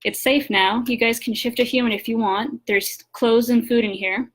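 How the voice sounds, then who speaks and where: A woman's voice: a little high, steady tone, normal speed. A woman voicing a tough, impatient undercover shapeshifter in a story, blunt and commanding. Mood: reassuring, matter-of-fact.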